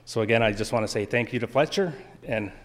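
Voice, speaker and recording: A man opens a church service with announcements and introduces the guest speaker. He talks plainly and informally, at a middling pitch. His voice rings out with a long reverb through the church hall. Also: quick pace. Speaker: church elder making announcements.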